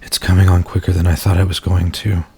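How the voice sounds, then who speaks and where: A man acting an anguished, pleading werewolf in human form for an ASMR roleplay, speaking in an intimate, halting, confessional way with a gravelly voice. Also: deep voice, little pitch movement, a little mellow, full character voice. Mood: urgent, pleading.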